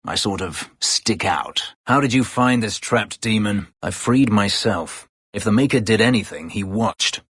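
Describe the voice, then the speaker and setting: An actor playing a brooding, bitter escaped-slave elf warrior in a fantasy video game, terse, guarded and drily sardonic, with a gravelly voice. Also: male voice, unhurried, few pauses, a bit bright, no room sound, clean studio sound. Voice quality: gravelly, husky low voice. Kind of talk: dramatic fantasy video game character dialogue.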